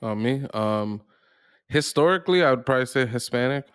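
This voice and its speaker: A man hosting a podcast in casual discussion, halting as he thinks aloud. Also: mid-range voice, animated, smooth, no room sound, clean studio sound. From United States. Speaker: podcast host.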